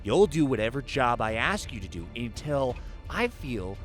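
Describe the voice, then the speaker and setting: Man acting a wildly animated, taunting crime boss. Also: medium pitch, faint music behind, natural voice. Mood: taunting, commanding. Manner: taunting, toying, drawn-out threats. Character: menacing, mocking male crime boss villain, falsely friendly. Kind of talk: audio drama acting.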